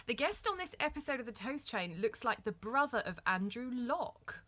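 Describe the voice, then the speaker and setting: A woman's voice: on the high side, sing-song, moderate pace, a loud crowd. A woman co-hosting a podcast in casual chat, playful and teasing as she reads out comments and a guest bio. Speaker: podcast co-host.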